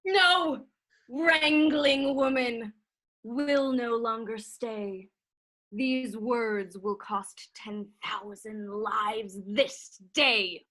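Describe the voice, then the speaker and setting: A woman's voice: a little high, very slow, a bit bright, full character voice. A woman playing a proud, defiant young male claimant to a throne in a Shakespearean verse reading, with a haughty, declamatory delivery. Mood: fierce defiance. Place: remote reading over a video call.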